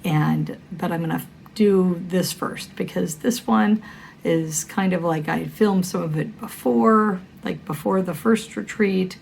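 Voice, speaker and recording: A hoarse woman with a scratchy voice, chatting casually to camera about her stitching, rambling with many fillers and self-corrections. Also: lowish voice, measured pace, a little mellow. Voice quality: scratchy, gravelly voice. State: hoarse from a cold. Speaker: cross-stitch vlogger.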